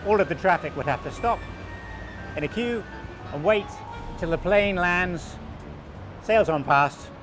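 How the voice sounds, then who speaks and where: A man around sixty, originally from Wales, calmly explaining local history and his website as an interview guest, with small restarts. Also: sits high, unhurried, pauses often, music in the background. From southwest Wales. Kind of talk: relaxed conversational explanation.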